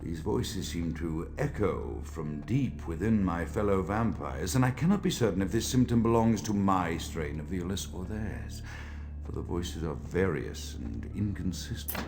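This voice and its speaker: A man's voice: deep voice, expressive, normal speed, vocal fry, darkish tone, faint music behind. An actor playing an erudite, afflicted male vampire scholar, dictating a game audio journal in florid, formal, pompous language. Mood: troubled, confiding.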